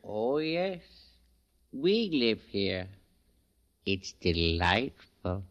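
An actor playing an eerie, courteous member of a hidden society in 1940s radio drama, suave and formal. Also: male voice, on the low side, sing-song, very slow, muffled recording, exaggerated voice. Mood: sly, delighted. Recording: vintage radio drama recording.

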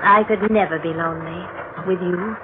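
An actress playing an anxious, devoted young bride in a 1940s radio drama, earnest and hesitant. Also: female voice, lowish voice, fairly even intonation, moderate pace, noisy background, lightly acted. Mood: tender. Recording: tinny old radio, music behind.

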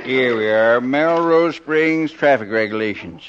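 An actor playing an easygoing, joking small-town marshal in a 1950s radio comedy, with a folksy manner. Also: male voice, mid-range voice, very noisy, close to an everyday voice. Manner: folksy, unhurried, kidding. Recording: muffled 1950s radio recording.

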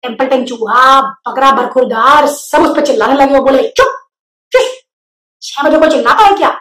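Woman as grumpy goose lady, scolding, bossy, high, echoey. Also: moderate pace, a bit bright.

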